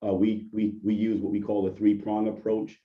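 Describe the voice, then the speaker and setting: A hoarse man, a deputy police chief, giving an earnest presentation on a video call. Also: on the low side, little pitch movement, moderate pace. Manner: earnest, appreciative, restarts often. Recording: distant muffled video call audio.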